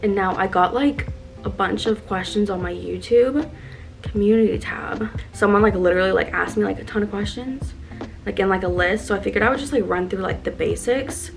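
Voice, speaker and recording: A woman's voice: mid-range voice, brisk, gravelly. A woman in her early twenties chatting casually while getting ready, upbeat and earnest, with lots of "like" fillers. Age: 20s. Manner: chatty, filler-heavy with constant "like". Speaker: lifestyle vlogger. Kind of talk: casual get-ready-with-me chat. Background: background music.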